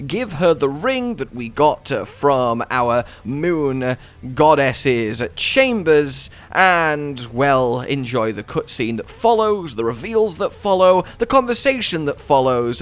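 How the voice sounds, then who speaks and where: Man, fairly high voice, very lively intonation, chatty game guide narration, wordy, playfully dramatic. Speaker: video game guide host. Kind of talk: enthusiastic scripted game guide voice-over.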